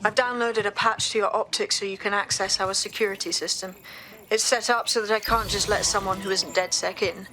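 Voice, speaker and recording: A woman's voice: mid-range voice, steady tone, a little fast, music in the background, lightly acted, low-quality recording. A woman playing a businesslike, determined resistance leader giving direct instructions in a video game.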